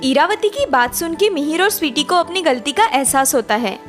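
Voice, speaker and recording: A woman's voice: high voice, animated, moderate pace, faint music behind. A woman narrating a scripted moral story.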